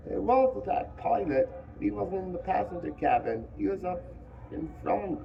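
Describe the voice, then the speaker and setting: Guy putting on a fretful chubby schoolboy, high and strained, kinda muffled old audiobook sound. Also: expressive, moderate pace, creaky. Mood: worried. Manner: high, strained boyish voice with working-class grammar. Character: anxious plump schoolboy, fussy and worried.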